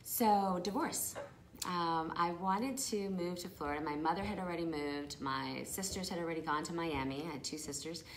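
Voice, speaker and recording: A woman's voice: on the low side, moderate pace, slight background noise, poor audio. A middle-aged woman from Brooklyn, a guest chatting casually and laughing often in an interview. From Brooklyn, New York. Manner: lively, laughs often, talks over the host.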